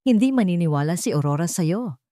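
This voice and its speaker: A protective working-class father, played by a woman narrator, tense and pleading. His voice sits low and moves a lot, recorded dry. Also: expressive, fairly quick, dark tone, dry recording, natural voice. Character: cornered single father mechanic, protective.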